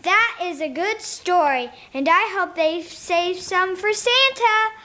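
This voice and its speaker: A woman's voice: very high voice, animated, slow, sharp tone, heavily acted. A female performer playing a chipper young girl who co-hosts a kids' podcast in a radio play. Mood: cheerful. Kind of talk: scripted radio play acting.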